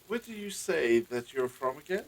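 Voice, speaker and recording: A man's voice: a little high, big pitch swings, moderate pace. An actor playing an anxious, courteous village husband and father in a period audio drama, with hesitant, formal old-fashioned phrasing. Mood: uneasy, polite.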